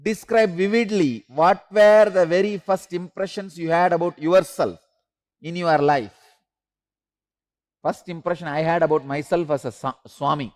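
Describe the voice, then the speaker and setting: Spiritual teacher, male, high-pitched, slow repeated instructions, emphatic rises. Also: fairly even intonation. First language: Tamil.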